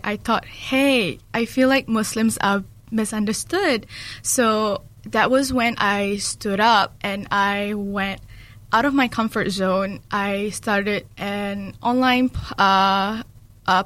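A woman's voice: mid-range voice, a bit bright. A young woman from Malaysia who grew up in New Zealand, chatting casually as an interview guest and often searching for words. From Malaysia, in New Zealand since age ten. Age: young adult. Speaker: podcast guest, student association president.

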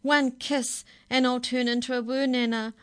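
Scared little girl in a storybook, tearful and high, read by a woman. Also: flat delivery, moderate pace, clean tone, lightly acted. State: sobbing.

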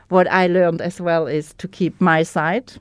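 A woman with a German accent, a native German speaker, candidly sharing her recovery story as a guest in an interview, pausing to search for words. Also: fairly low pitch, fairly even intonation, darkish tone, no room sound, studio quality. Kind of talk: personal testimony in an interview.

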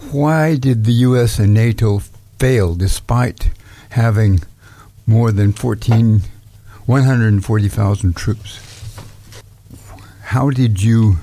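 Radio host dude, lowish mellow voice, just asking questions plainly. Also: male voice, slow pace, a little hiss or hum. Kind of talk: community radio interview.

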